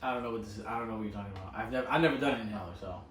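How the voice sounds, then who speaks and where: A man hosting a casual podcast with friends, talking loudly and defensively, his voice jumping up when he protests. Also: lowish voice, racing. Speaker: podcast host. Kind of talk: casual group podcast banter. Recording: roomy sound from a distant mic.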